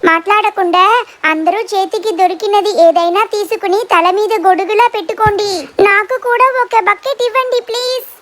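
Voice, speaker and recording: An anxious little cartoon girl , acted by a performer. The voice is extremely high and childlike, with a plaintive manner and some noise behind it. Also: moderate pace, fairly bright tone. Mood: frightened. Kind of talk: animated children's story dialogue.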